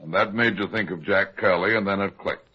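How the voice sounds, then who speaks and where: An actor playing a brusque, shrewd overweight private detective in an old-time radio drama, with a dry, curt manner. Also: male voice, low voice, brisk, husky. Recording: dull lo-fi old radio recording.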